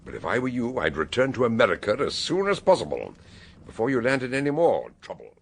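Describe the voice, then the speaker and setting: An actor in a radio drama playing a shrewd, blunt police superintendent with a brusque, knowing authority. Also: male voice, medium pitch, huge pitch swings, brisk, gravelly, breathy edge, full character voice. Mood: amused, assured.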